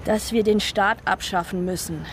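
A wistful former radical, voiced by an actress in a radio drama, talking at a middle pitch in earnest, thoughtful speeches. A faint street hum sits behind her. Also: steady tone, normal speed, close to an everyday voice. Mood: reflective. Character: disillusioned older ex-radical woman, wistful.

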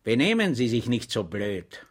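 A man's voice: mid-range voice, sing-song, normal speed. Man doing a cold, barking police official. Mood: sneering. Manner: barking, contemptuous.

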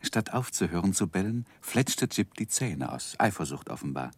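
An actor playing a gentleman who tenderly recalls his infatuated youth, narrating a 1960s German radio drama in a wistful, literary manner. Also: low voice, big pitch swings, normal speed, breathy edge, no room sound, exaggerated voice. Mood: fond, amused. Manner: wistful, ornate literary phrasing.

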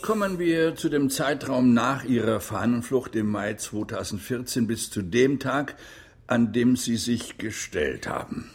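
Formal courtroom lawyer, raspy, animated intonation, clipped, interrogating, radio drama. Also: male voice, mid-range voice, unhurried. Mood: pointed, formal.

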